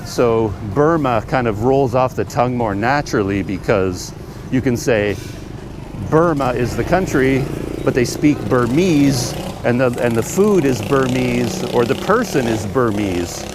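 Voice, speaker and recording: A man from Canada, mid-pitched, rambles easily as he walks through a bustling city market. He keeps stopping to hunt for words. Traffic and street bustle come through clearly around his camera mic. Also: lively intonation, unhurried. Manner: rambling, thinking aloud with fillers. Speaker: travel vlogger. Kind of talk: casual talk to camera while walking. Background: traffic and trucks passing.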